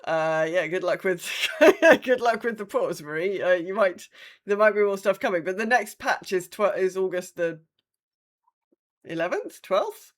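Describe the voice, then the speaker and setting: A woman's voice: medium pitch, expressive, measured pace. A woman co-hosting a podcast in a casual, informal style, often doubling words for emphasis. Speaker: podcast co-host. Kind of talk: casual podcast chat, reading community updates.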